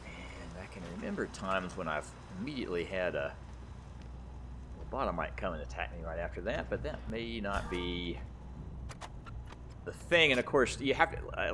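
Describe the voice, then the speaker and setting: A man hosting a gaming video, giving casual gameplay commentary, excitable and thinking aloud as he plays. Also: lowish voice. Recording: faint background noise.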